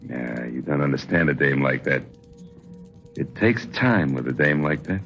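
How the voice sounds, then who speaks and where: A man playing a gruff, stubborn gangster with curt, slangy talk in 1940s radio crime acting. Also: very deep voice, animated, unhurried, fairly bright tone, soft background music, exaggerated voice. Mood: defensive, stubborn. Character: gruff, defensive male gangster, smitten and stubborn. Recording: muffled old radio drama recording.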